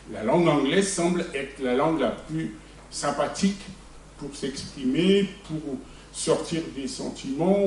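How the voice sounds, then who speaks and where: A man's voice: mid-range voice, a little mellow. Man, from Senegal, audience member, blunt, conversational, distant mic, roomy, faint hum. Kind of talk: spontaneous personal testimony. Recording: echoey room, mic far off.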